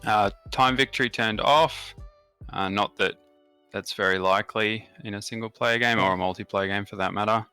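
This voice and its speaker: A man's voice: fairly low pitch, steady tone, moderate pace, sharp tone, clear, resonant tone, dry recording, soft background music. Australian man explaining a strategy game. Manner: explanatory, frequent "uh" fillers. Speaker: gaming channel host. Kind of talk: casual gameplay commentary.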